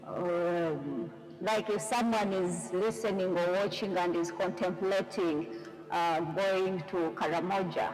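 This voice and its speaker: A woman's voice: on the low side, slow pace, a little mellow, big-room sound, rough recording. A woman, a religious sister, hosting a live interview, welcoming her guest and asking questions with some hesitant fillers.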